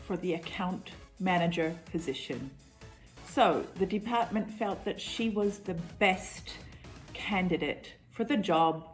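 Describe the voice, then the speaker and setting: Slow, measured New woman teacher. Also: on the low side. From New Zealand. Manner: clear, measured explanations with repeated examples. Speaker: English teacher. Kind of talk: online English lesson.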